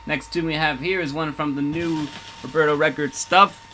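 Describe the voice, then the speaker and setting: A man's voice: on the high side, fairly even intonation, normal speed, pushed and bright, a little hiss or hum, poor audio. A man hosting a music radio show in casual DJ patter, rambling, joking and self-deprecating. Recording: music playing faintly underneath. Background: faint music.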